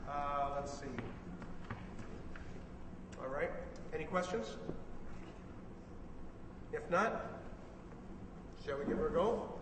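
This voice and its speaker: A man's voice: on the high side, takes it slowly, long pauses. Male director in a very echoey hall. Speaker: director of radio players. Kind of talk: casual rehearsal instructions. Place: performing arts center stage. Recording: echoey hall from far off, faint noise.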